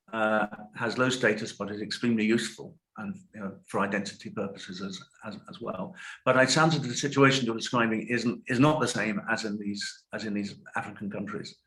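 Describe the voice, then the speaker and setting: A man's voice: on the low side, a bit bright, rough recording. Thoughtful older man answering questions. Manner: thoughtful, hedging, self-correcting. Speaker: guest lecturer answering questions. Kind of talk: academic Q&A over video call. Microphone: video call.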